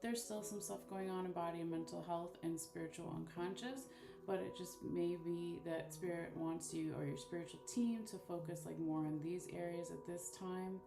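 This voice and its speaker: Soft-voiced woman reading bones and charms. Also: lowish voice, steady tone, creaky. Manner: gentle, unhurried, many fillers like um and okay. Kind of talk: live-stream divination reading. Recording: echoey room with loud guitar music behind. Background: guitar music.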